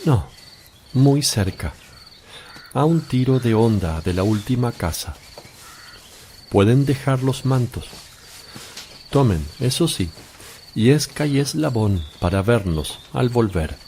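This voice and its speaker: Slow, solemn Jesus played by a man. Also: mid-range voice, lively intonation, dark tone, a little hiss or hum. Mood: calm, commanding. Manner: solemn, deliberate, measured. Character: Jesus, calm authoritative teacher addressing disciples. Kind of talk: solemn religious radio drama acting.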